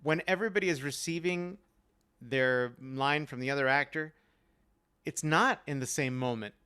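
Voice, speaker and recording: A man, an actor on a panel, talking reflectively and deliberately over a video call. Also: a little high, bright, forceful tone, smooth.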